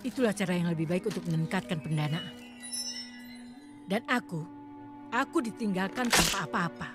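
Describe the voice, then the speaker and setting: Woman as a resentful scientist lady, lowish voice, proud, cartoon dub, music behind. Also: moderate pace, lightly acted.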